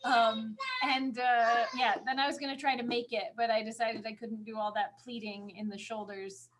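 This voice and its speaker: High-pitched, enthusiastic woman chatting. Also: fairly even intonation, moderate pace, fairly bright tone, a little hiss or hum. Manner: enthusiastic, gushing, emphatic. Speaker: podcast co-host. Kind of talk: casual fan podcast chat.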